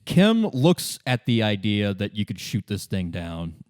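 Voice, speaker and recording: A man's voice: on the low side, big pitch swings, a little slow, darkish tone, no echo, studio quality. A man running a tabletop game as game master, narrating casually and often restarting his sentences.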